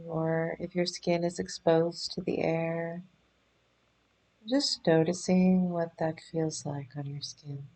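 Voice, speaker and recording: A woman leading a guided meditation in a gentle, soothing, unhurried manner, leaving pauses between phrases. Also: on the low side, low-quality recording. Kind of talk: guided body scan meditation.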